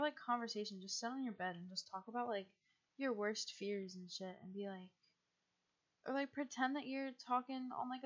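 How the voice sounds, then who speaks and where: Chatty husky young American woman streamer. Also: on the high side, dull audio. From United States. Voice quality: husky and quiet. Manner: chatty, rambling, laughs often. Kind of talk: casual game stream chat.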